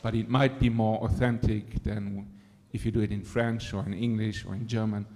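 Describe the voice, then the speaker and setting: A man's voice: fairly low pitch, level tone, moderate pace, mellow. A man from Luxembourg, a screenwriter-director speaking from the floor in a thoughtful way with many fillers. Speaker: audience member, screenwriter-director. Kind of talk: question from the floor. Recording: hall reverb.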